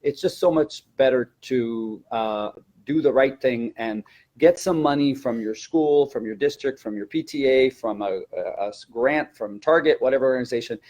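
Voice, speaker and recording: A man with a medium-pitched voice speaks as a teacher trainer. He gives informal, instructive explanations in the style of a webinar discussion. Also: moderate pace, darkish tone.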